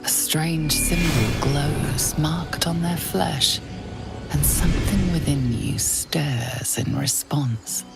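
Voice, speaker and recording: A woman acting as the grave, ominous narrator of a dark fantasy video game. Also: sits low, slow, gravelly, full character voice. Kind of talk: dramatic second-person fantasy narration. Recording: loud music behind the voice.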